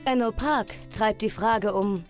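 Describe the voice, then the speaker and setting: A woman's voice: mid-range voice, measured pace, faint music behind. A woman reading a scripted documentary voice-over in pronunciation. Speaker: documentary narrator.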